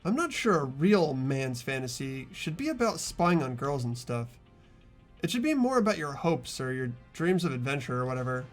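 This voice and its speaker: Casting: a performer as everyman teen boy hero, medium pitch, animated, earnest and a little hesitant, game narration. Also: normal speed, faint music behind, close to an everyday voice. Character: earnest, hesitant ordinary teenage boy narrating his ordeal. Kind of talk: fan-dubbed visual novel narration and dialogue.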